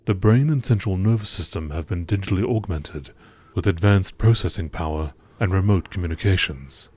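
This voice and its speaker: A man reading scripted narration in a measured, formal nature documentary style. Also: deep voice, darkish tone, breathy edge, dry recording. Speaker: gaming channel narrator.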